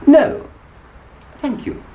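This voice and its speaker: An actor with an English accent playing a grumbling, put-upon husband in a farce, exasperated and dryly sarcastic. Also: male voice, extremely slow, muffled recording, a little hiss or hum. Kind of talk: vintage British stage farce acting.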